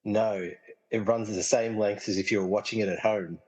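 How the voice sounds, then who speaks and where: Echoing low husky actor as an easygoing guy. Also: steady tone, moderate pace, a little mellow. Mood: casual, explanatory. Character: easygoing movie-loving man trapped inside a film. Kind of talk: amateur comedy radio play dialogue. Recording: long reverberant hall echo.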